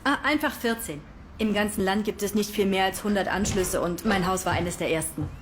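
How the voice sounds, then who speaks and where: An actress playing a sociable, forthcoming Danish diplomat who chats as a neighbour in a period film. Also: female voice, mid-range voice, brisk, slight background noise, natural voice. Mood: inviting. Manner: chatty, forthcoming. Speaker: film actress in a supporting part.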